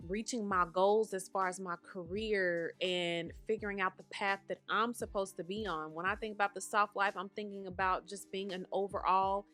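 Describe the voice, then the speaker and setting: A woman's voice: medium pitch, steady tone, moderate pace, hardly any pauses, fairly bright tone, faint music behind. A woman in her thirties hosting a podcast from home, talking casually and calling listeners honey and baby, a little nasal and congested today. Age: 30s. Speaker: podcast host.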